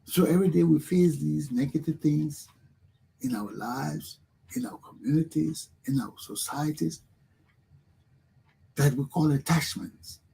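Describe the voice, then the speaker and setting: A middle-aged man with a Liberian accent delivers a motivational monologue on his own talk show. His voice sits a little high for a man and sounds warm and husky. He preaches with emphasis and circles back to repeat his points. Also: unhurried, pauses often, poor audio.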